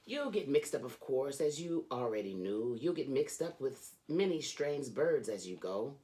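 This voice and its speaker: A woman reading a children's storybook aloud as host, with theatrical, emphatic delivery and drawn-out phrases. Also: very deep voice, very animated, mellow.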